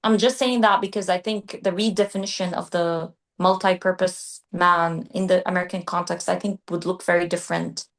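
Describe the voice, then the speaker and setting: Podcast co-host, female, grew up in Qatar; thoughtful, measured delivery with mid-sentence restarts. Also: lowish voice, fairly even intonation. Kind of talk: casual discussion.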